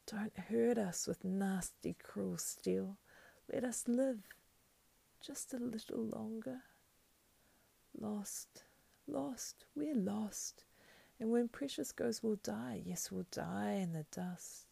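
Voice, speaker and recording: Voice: medium pitch, very slow, fairly bright tone, husky, no echo, voice pushed up, exaggerated voice. A narrator voicing a wretched, starved creature who whines, hisses and pleads in a storybook reading. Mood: pitiful pleading. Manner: hissing, whining, pleading. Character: wretched, starved, whimpering creature craving his treasure.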